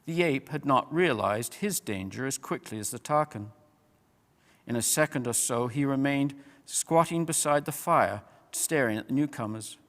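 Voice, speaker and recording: A man reading a story aloud as an expressive audiobook-style narrator. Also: mid-range voice, normal speed. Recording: long hall-like reverb.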